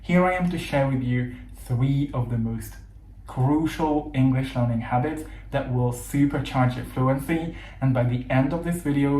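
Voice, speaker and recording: A man's voice: mid-range voice, dark tone, a little hiss or hum. A man in his thirties, a native Hungarian speaker, teaching English learners in an earnest, encouraging way. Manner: earnest, encouraging, emphatic lists. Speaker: English coach. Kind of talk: motivational teaching monologue. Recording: echoey room with faint ambience.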